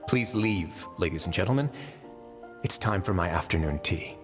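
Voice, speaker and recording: Composed, shrewd fortress lord, reverberant. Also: male voice, deep voice, lively intonation. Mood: cool, dismissive. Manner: measured, dry, politely condescending. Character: composed, shrewd male prison-fortress lord, coolly authoritative. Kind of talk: video game character acting. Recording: long reverb, music underneath.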